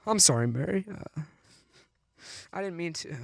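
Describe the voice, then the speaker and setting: A young man playing a desperate, troubled family man in a live radio play, halting and pleading as he talks. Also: a little high, lively intonation, creaky, dry recording, studio quality. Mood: contrite. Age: young adult.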